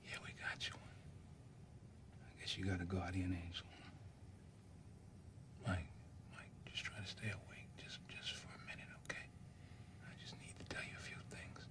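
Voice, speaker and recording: A man's voice: deep voice, completely flat delivery, normal speed, long pauses, noisy background, lightly acted. An actor performing a stage monologue as a dying father who whispers tender last advice to his son. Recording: distant from the mic.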